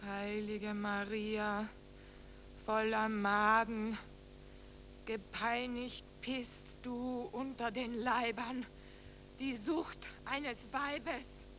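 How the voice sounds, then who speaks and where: An actress in a German radio drama playing a tormented, feverish psychiatric patient who raves accusingly in broken, incantatory phrases. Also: female voice, on the high side, level tone, extremely slow, fairly bright tone, slight background noise, rough recording. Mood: spent, anguished.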